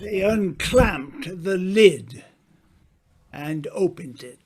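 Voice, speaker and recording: A man playing a grave mystery narrator in a radio drama, deliberate and full of dramatic pauses. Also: sits high, expressive, full character voice. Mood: ominous, suspenseful. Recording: faint background noise.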